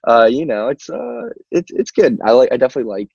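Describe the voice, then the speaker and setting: A man's voice: mid-range voice, sing-song, fast talker, gravelly, a little mellow, slightly rough. A young American man who is a band singer chats casually as an interview guest, rambling with lots of filler words and easy laughter. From Albany, New York, United States. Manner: rambling, peppered with "like" and "you know", laughs easily. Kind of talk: casual chat. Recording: muffled, roomy call-like audio.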